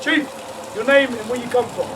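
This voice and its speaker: A New man declaims a formal welcome to visitors at a cultural village. His voice sits very high, and it reaches the mic from afar. Steady noise runs underneath. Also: moderate pace. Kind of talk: ceremonial welcome address to tourists. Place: live cultural show venue. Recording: distant voice with loud background noise.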